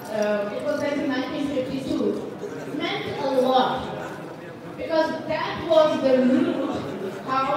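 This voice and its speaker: An older woman from Bangladesh giving an earnest speech as a guest speaker at a community event, into a handheld mic. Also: high-pitched. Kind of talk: public speech. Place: large indoor atrium at a community event. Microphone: handheld mic, filmed from a distant phone. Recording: echoey hall from far off, crowd noise.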